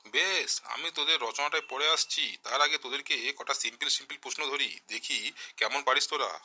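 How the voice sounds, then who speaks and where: A man's voice: mid-range voice, moderate pace, pushed and bright. A man playing a stern, sarcastic schoolteacher in a comic cartoon, scolding and mocking. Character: stern, sarcastic, exasperated male village schoolteacher.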